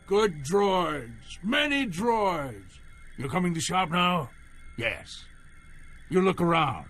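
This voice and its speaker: An actor playing an eager, odd little alien merchant in a video game, talking in broken, repetitive phrases. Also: male voice, high voice, very animated, slow pace, a bit bright, heavily acted. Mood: eager, haggling. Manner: broken grammar, repeats words.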